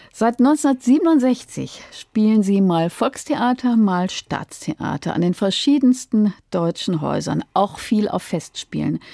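Woman radio interviewer, mellow voice, mid pitch, very dry sound. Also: creaky, no room sound. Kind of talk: interview questions and presenting.

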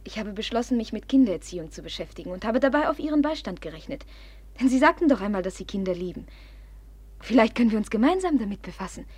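A proud young woman of good family in a radio drama. Her voice is high and husky-breathy, heard close and dry. She is imperious and impulsive, swinging between confiding and jealous accusation. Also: big pitch swings, fast, a little mellow, dry recording. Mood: earnest, confiding. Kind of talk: classic German radio play acting.

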